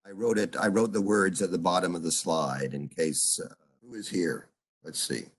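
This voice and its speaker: An older man teaching an online seminar as a professor, speaking haltingly with many fillers and stopping often to put questions to his students over a video call. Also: low voice, slightly rough. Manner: halting, full of uhs, asks students questions. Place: home room on a video call. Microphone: video call computer mic.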